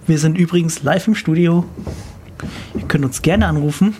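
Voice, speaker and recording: A man's voice: on the high side, normal speed, a little mellow, slight background noise. A man co-hosting a live radio talk show from a studio, chatting casually and asking curious follow-up questions.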